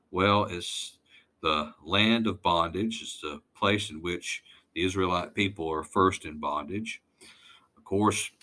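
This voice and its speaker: Very deep-voiced, unhurried male lecturer. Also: bright, forceful tone. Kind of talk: informal slide lecture.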